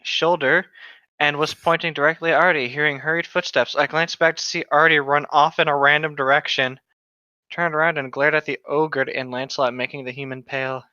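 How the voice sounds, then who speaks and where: A man's voice: on the high side, fairly even intonation, brisk, pushed and bright, dry recording, highs cut off. A man chatting and reading aloud casually with friends, with a teasing, chatty manner. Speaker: podcast co-host reading aloud. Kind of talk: casual group fan fiction read-aloud with banter.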